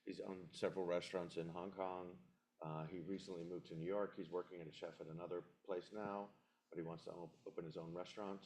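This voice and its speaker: A man representing a license applicant at a community board meeting, presenting in a measured, businesslike way with some fillers. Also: low-pitched, little pitch movement, a little mellow.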